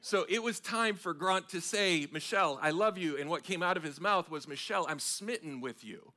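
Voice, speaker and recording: A man in his forties with an American accent, preaching a sermon on a church stage in a conversational, animated way with frequent restarts. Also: on the high side, moderate pace. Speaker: pastor. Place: large church stage with audience.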